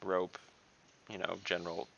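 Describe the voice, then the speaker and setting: A man with a low, creaky voice that still cuts through brightly. He talks as a player in a tabletop game, laying out plans in a dry, matter-of-fact, conversational way. The audio is a little dull, like an online call. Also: completely flat delivery, normal speed. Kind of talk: casual in-game planning talk.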